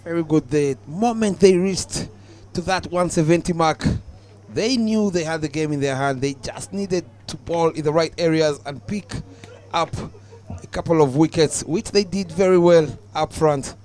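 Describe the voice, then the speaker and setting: A young Ugandan man giving live cricket commentary, calm and measured, lifting his voice on the big moments. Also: fairly high pitch.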